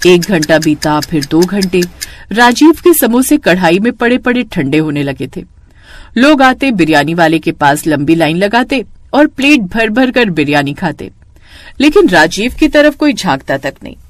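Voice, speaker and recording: A woman's voice: fairly low pitch, big pitch swings, normal speed, dry recording. A woman narrating a scripted cartoon moral story as a storyteller.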